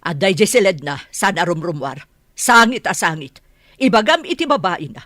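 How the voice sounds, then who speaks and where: A woman's voice: lowish voice, animated, moderate pace, fairly bright tone, no room sound, clean studio sound. A woman acting a middle-aged, blunt, protective aunt in a radio drama, with an Ilocano Filipino accent. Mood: blunt, concerned.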